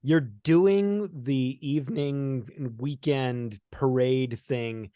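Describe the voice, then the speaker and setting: A man's voice: medium pitch, slow, dark tone, clear, resonant tone, dry recording. A man hosting a conversational interview, reflectively summing things up before he asks a question. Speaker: interview host.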